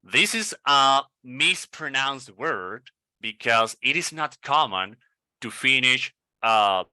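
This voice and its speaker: A man from Venezuela with a Venezuelan Spanish accent, coaching English pronunciation and repeating model words slowly and deliberately. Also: medium pitch, bright, forceful tone, no echo.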